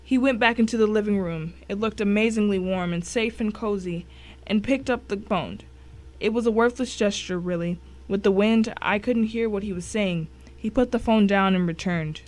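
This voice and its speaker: A woman's voice: mid-range voice, normal speed, darkish tone, natural voice. Woman as a tense suspense story narrator.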